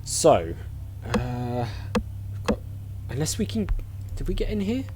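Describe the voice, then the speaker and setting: Lively man chatting through a video game. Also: medium pitch, unhurried, background noise. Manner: chatty, thinking aloud in questions. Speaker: video game player and channel host. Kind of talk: casual let's-play commentary.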